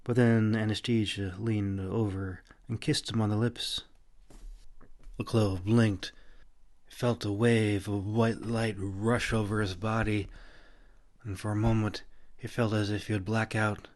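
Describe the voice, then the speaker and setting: A man's voice: fairly low pitch, little pitch movement, unhurried, a little mellow. A man reading his own fantasy novel as scripted audiobook narration.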